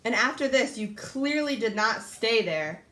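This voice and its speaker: Lively young woman hosting a mock talk show. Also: fairly high pitch, unhurried. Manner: upbeat, reads questions from a script. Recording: echoey room.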